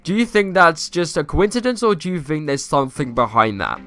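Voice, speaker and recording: Rambling young man, croaky voice. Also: on the high side, big pitch swings, fairly quick, a bit bright, faint music behind. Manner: rambling, self-correcting, asks viewers questions. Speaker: YouTuber. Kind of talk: casual gameplay commentary.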